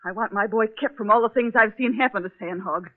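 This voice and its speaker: A woman's voice: medium pitch, fairly quick, natural voice. An actress playing an anxious, pleading middle-aged working-class wife and mother in a 1940s radio drama, earnest and emotional. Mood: anguished. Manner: emotional, earnest, plain-spoken. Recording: thin, narrow-band 1940s radio recording.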